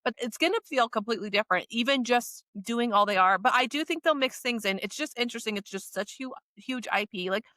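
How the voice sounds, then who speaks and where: Bright-voiced American woman chatting emphatically. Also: on the high side, quick pace, few pauses, no room sound. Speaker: podcast co-host. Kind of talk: casual chat.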